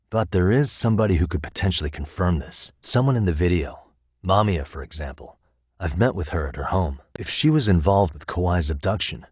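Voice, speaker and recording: A calm detective dude from a video game, low voice, super dry sound, methodical and thinking out loud. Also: male voice, husky, dry recording. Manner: measured, methodical, reasoning aloud. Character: calm, analytical male private detective, former lawyer. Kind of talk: English video game voice acting, conversational dialogue.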